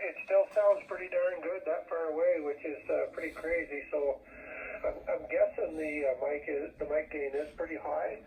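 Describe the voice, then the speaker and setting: A man's voice: fairly high pitch, gravelly, slight background noise. A man chatting casually and appreciatively as an amateur operator, heard through the speaker of a two-way shortwave radio. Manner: informal, appreciative. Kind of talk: casual ham radio chat. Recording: narrow tinny shortwave radio transmission.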